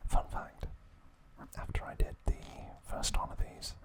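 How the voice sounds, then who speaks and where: Whispering man in a slow ASMR narration. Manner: soft, unhurried, chatty and informal. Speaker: ASMR video host.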